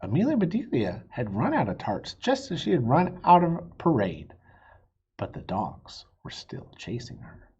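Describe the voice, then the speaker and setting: A man reading a children's story aloud in a playful, expressive way, with a husky voice. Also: mid-range voice, unhurried, highs cut off. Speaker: storybook reader.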